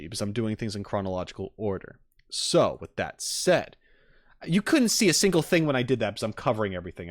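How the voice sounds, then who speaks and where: A man chatting casually on a livestream as he reacts to game trailers, rambling in an animated, self-deprecating way. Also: mid-range voice, no room sound, clean studio sound.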